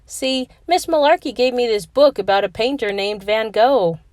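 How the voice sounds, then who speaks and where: A woman voicing a shy, bookish schoolboy in a children's storybook read-aloud, speaking gently and a bit apologetically. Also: fairly high pitch, moderate pace, no room sound, studio quality, pitched above the performer's own voice, close to an everyday voice. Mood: sheepish.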